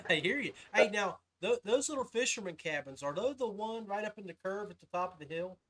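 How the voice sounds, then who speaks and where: A man with a notably high-pitched voice hosts a casual podcast conversation. He talks in an informal, folksy way, like someone chatting with friends. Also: animated, moderate pace, fairly bright tone. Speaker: podcast host. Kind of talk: casual interview chat.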